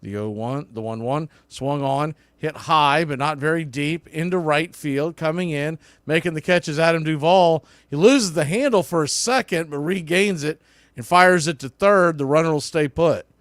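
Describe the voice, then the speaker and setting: A man's voice: on the high side, normal speed, fairly bright tone, dry recording. A man calling a baseball game play by play in clipped phrases that build on big plays. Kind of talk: live play-by-play commentary.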